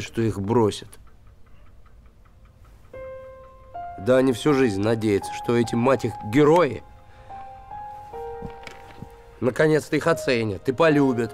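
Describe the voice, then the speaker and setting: An actor in his thirties playing a gruff, warm-hearted small-town handyman, lovesick and bitter, talking in blunt colloquial speech in a naturalistic TV drama. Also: medium pitch, lightly acted. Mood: bitter, pained. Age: 30s. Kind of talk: naturalistic television drama acting. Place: small wooden house room.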